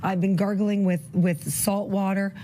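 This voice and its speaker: A woman with a hoarse voice, co-hosting a morning news show in casual, upbeat banter from a TV studio. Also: mid-range voice, level tone, a little slow, dark tone, slight background noise. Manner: chatty, upbeat. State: hoarse, recovering lost voice.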